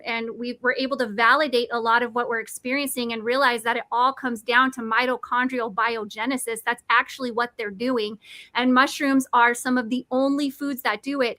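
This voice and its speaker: Bright-voiced woman in her forties. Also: on the high side, no room sound. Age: 40s. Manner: eager, nerdy, self-correcting. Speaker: product presenter. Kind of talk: enthusiastic explanatory talk.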